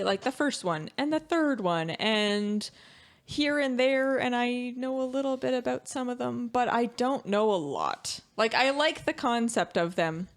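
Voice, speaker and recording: Female co-host, highish voice, very animated intonation, casual chat. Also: vocal fry. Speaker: podcast co-host.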